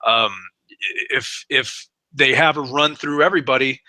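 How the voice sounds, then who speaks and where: Bright, forceful man in his thirties. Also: medium pitch, moderate pace, no room sound, low-quality recording. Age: 30s. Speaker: guest commentator on a wrestling and MMA show. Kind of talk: casual sports chat.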